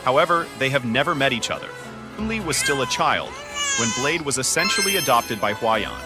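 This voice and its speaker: A man with a medium-pitched voice and animated inflection gives a scripted, explanatory narration. He stays matter-of-fact in wording, with very loud music behind him. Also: a little fast.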